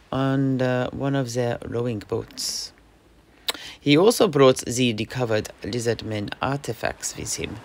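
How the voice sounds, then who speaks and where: A man with a gravelly, creaky voice at a middling pitch narrates a fantasy audio play. He tells the tale in dramatic, measured phrases, with faint ambience behind him. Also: a little hiss or hum. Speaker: host, storyteller.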